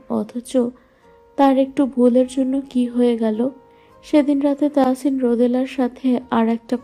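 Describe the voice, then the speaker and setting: Female storyteller for romantic audio fiction: very mellow tone, higher register, light music bed. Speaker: narrator. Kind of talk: scripted romantic story narration. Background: faint music.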